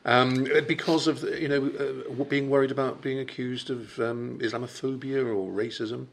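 A man interviewing a guest, asking questions conversationally with measured, tentative phrasing. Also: mid-range voice, fairly even intonation, gravelly.

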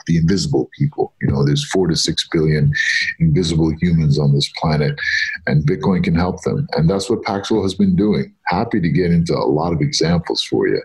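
A man from New York talks as a guest on a podcast. His voice is deep and rough-edged. He tells his story conversationally, in plain and informal words. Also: a little fast, a little mellow, rough recording. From New York, born in Egypt. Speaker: podcast guest, startup founder.

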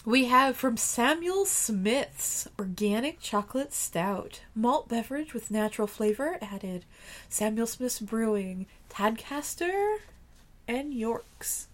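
Woman, podcast co-host, bubbly, giggly, exclaiming, high-ish voice that swings a lot. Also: expressive, takes it slowly. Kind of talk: casual chat.

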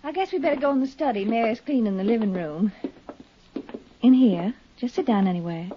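A woman's voice: a little high, lively intonation, a little fast, background noise, lightly acted. Woman acting an earnest young radio heroine, dull sound. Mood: polite. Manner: earnest, confiding, firm. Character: poised, earnest, grieving young woman, self-assured. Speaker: radio drama actress. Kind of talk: 1950s radio drama acting. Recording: dull, dry 1950s radio recording.

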